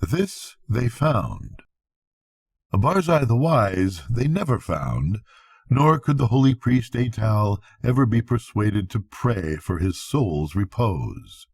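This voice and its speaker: A man's voice: fairly low pitch, big pitch swings, slow, creaky, breathy edge, dry recording, clean studio sound. An older man narrating a bedtime story like a grandfather, with solemn, dramatic phrasing.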